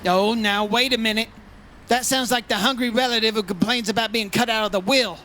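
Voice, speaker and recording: A man's voice: high-pitched, steady tone, a little fast, bright, forceful tone, slight background noise. A man playing a wry, teasing insurance investigator in an old-time radio detective play. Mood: needling, wry. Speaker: lead actor in a radio play.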